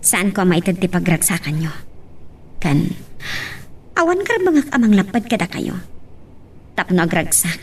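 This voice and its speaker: An actress playing a spoiled young daughter in an Ilocano radio drama. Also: female voice, mid-range voice, darkish tone, slightly rough, far from the mic. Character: spoiled young daughter, remorseful yet plotting.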